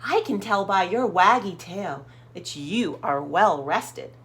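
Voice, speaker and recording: A woman's voice: medium pitch, expressive, fairly bright tone. A woman voicing a cheerful little female bird who teaches, gushing and theatrical, in a children's storybook. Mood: cheery. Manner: gushing, theatrical, drawn-out emphasis.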